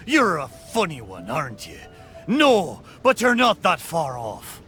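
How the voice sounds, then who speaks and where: A man's voice: on the high side, sharp tone, full character voice. A man voicing a boastful, good-humored spirit of an old pirate ship in a video game, talking in hearty, swaggering pirate style. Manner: hearty, bragging, swaggering pirate talk with "arr". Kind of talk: video game character acting.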